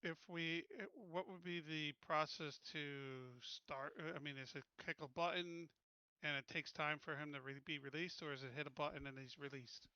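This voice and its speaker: Bright, higher-pitched man at the gaming table. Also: fairly even intonation, moderate pace, clean tone, no echo, lo-fi sound. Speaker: tabletop game player. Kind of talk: casual in-game discussion.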